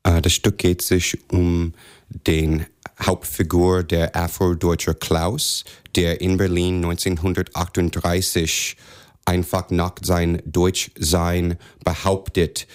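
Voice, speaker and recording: A man's voice: low voice, flat delivery, slow, smooth. A middle-aged man with an American accent, a native English speaker answering radio interview questions in non-native, slightly ungrammatical phrasing. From rural upstate New York, USA. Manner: non-native German with grammatical slips. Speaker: theatre director, interview guest. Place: radio studio.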